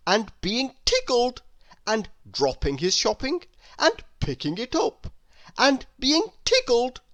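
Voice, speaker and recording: Slow, expressive woman reading a storybook. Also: a little high, no room sound. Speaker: storybook reader. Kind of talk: animated children's read-aloud narration.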